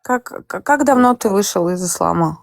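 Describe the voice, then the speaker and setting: A woman hosting a live voice chat, talking casually, direct and skeptical, quick to tease and challenge. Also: medium pitch, lively intonation, creaky, slight background noise. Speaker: stream host.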